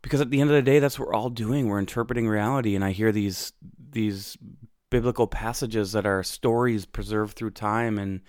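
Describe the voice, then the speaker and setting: A man's voice: on the low side, fairly even intonation, brisk, a little mellow, dry recording, clean studio sound. A young American man hosting a podcast, telling his own story in a reflective, conversational way with frequent fillers and restarts. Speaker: podcast host.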